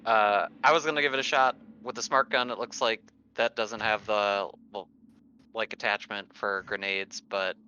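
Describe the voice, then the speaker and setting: A man's voice: on the low side, steady tone, moderate pace, pushed and bright. A man talking casually as a player in a tabletop game, on a lo-fi mic. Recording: dull lo-fi mic, faint noise.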